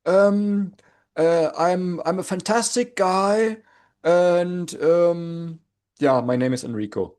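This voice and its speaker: German-accented man, 40s, slow unhurried delivery, joking and halting, podcast co-host. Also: high-pitched, darkish tone, smooth. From Germany, grew up in East Berlin. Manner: joking, self-mocking, halting with restarts. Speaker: podcast co-host, language teacher. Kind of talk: casual chat.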